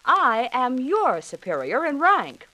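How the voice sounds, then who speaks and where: A woman's voice: high voice, wildly swooping pitch, slow, sharp tone, full character voice. A woman playing a stern army nurse lieutenant who pulls rank in a 1940s radio sketch, on an old recording. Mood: stern, commanding. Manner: crisp, commanding.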